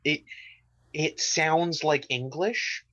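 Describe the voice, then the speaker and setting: A man's voice: a little high, extremely slow, pushed and bright, no room sound, lo-fi sound. A man from the United States, co-hosting a podcast in casual, joking chat with an irreverent, sweary manner. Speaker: podcast co-host.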